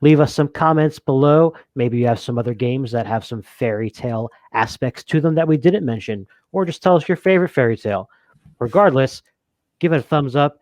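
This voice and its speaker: Casual man, mid-pitched, close dry sound. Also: fairly quick, darkish tone, dry recording. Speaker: co-host of a board game review show. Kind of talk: casual chat, asking questions and signing off to viewers.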